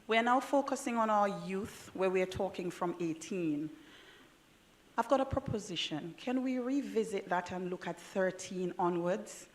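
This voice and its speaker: A woman's voice: mid-range voice, animated, unhurried. A woman with a Jamaican accent, asking a question from the audience at a conference and making an earnest, persuasive case. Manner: earnest, persuasive, folksy phrasing.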